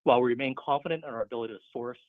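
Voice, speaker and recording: A man presenting as a finance executive, reading a formal scripted financial report in a steady, businesslike way. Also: medium pitch, racing, no echo. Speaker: finance executive on an earnings call. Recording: muffled narrow phone conference line.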